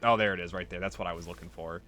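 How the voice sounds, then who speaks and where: Male game streamer, lowish voice, chatty and explanatory, loose rambling delivery over background music. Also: steady tone, rapid-fire, pushed and bright. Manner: rambling, explanatory, casually profane. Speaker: let's play host. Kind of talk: casual gameplay commentary.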